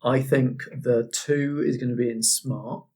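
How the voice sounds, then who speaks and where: A man's voice: mid-range voice, fairly even intonation, unhurried, darkish tone, breathy edge. A man chatting casually as a podcast guest, thinking his answers through aloud in a thoughtful way. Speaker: podcast guest, game master. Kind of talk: casual chat.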